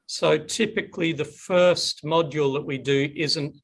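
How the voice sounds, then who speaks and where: A man's voice: fairly high pitch, steady tone, measured pace, vocal fry, slightly rough. A middle-aged man hosting a webinar Q&A on a video call, chatty and upbeat. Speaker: webinar host. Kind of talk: casual webinar Q&A.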